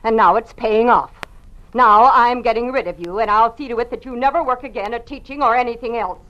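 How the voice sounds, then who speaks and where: Actress for vindictive woman informer, old radio play; highish pitch, mocking delivery, muffled vintage recording. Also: brisk, lightly acted. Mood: vindictive, scornful. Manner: taunting, sneering, mocking. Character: spiteful, gloating party informer, woman of zealous ambition. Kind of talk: old-time radio drama acting. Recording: dull, band-limited old radio recording.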